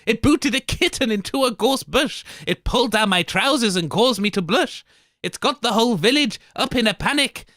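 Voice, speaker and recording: A man's voice: high-pitched, pushed and bright, no echo, clean studio sound, voice pushed up. A man voicing a fussy, complaining old village woman in rhyming verse.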